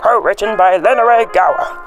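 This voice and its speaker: A man's voice: high voice, moderate pace, faint music behind. A man reading scripted narration as the storyteller of an amateur radio drama.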